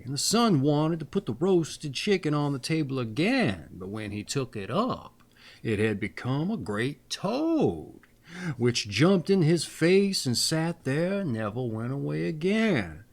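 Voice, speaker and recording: A man tells old fairy tales in a playful, folksy way. His delivery is slow and deliberate, with lively rises and falls in pitch. He sounds like a theatrical channel host reading aloud. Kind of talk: expressive fairy tale read-aloud with casual folksy asides.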